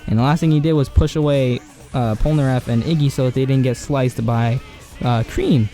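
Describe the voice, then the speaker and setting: Hoarse, rambling young-sounding man. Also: mid-range voice, moderate pace, dark tone, soft background music. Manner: rambling and slangy, heavy on filler words. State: hoarse, voice cracking. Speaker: video essay host. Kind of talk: casual anime commentary monologue.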